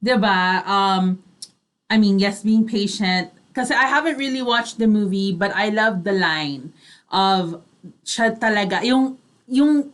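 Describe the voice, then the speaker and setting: A woman's voice: medium pitch, unhurried, fairly bright tone. A woman in casual, code-switching radio chat, an agreeable co-host. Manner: agreeable, chiming in and echoing co-host. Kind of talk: casual radio chat, mixing English and Tagalog.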